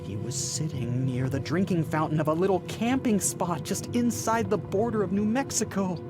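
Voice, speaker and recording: A haunted traveller in radio drama. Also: male voice, fairly high pitch. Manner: halting, repeating words, intense. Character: haunted, frightened man traveller narrating his ordeal. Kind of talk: dramatic first-person radio play narration. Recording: music under the voice. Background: dramatic music.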